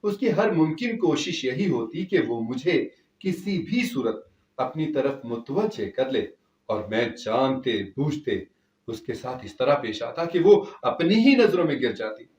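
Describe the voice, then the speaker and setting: A man's voice: mid-range voice, sing-song, unhurried, a little mellow. A man narrating a scripted dramatic story in the first person, as a storyteller. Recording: echoey, distant from the mic.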